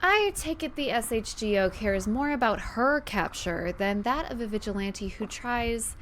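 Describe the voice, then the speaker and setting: A woman's voice: a little high, expressive, measured pace, fairly bright tone. A woman playing a confident, loyal young superhero in an audio drama. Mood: smug. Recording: faint background noise and ambience.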